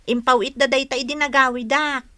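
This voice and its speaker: An actress playing an eager young granddaughter in a radio drama. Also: female voice, high voice, steady tone, fairly quick, bright, forceful tone, no room sound. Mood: cheerful.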